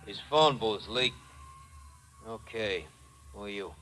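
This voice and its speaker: A man's voice: lowish voice, slow pace, pushed and bright. An actor playing a cagey, cold, suspicious hoodlum in a 1950s radio noir, curt in his delivery.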